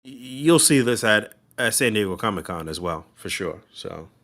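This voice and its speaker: A man's voice: lowish voice, animated, normal speed, fairly bright tone. A man co-hosting a podcast in casual, profane, laughing banter. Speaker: podcast co-host. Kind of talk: casual chat.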